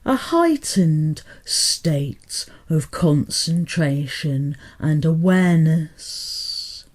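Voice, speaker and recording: A woman hypnotherapist guiding a meditation in a soothing, deliberate manner, pausing between short phrases. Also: low-pitched, lively intonation, darkish tone. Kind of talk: guided meditation hypnotherapy narration.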